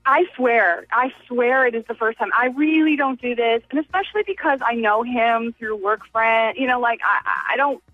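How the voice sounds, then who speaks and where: A woman's voice: a little high, normal speed, hardly any pauses, dry recording. A woman calling into a radio show, chatting confessionally in a flustered, defensive, hesitant way. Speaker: phone-in guest. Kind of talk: confessional casual chat. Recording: narrow phone line, music behind.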